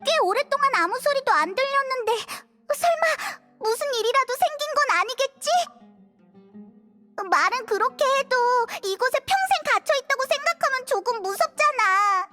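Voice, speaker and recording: A woman voicing a tiny, chatty, childlike fairy companion in a game, cute and expressive. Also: extremely high pitch, moderate pace, faint music behind, exaggerated voice. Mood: worried, pitying. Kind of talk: cartoonish game voice acting.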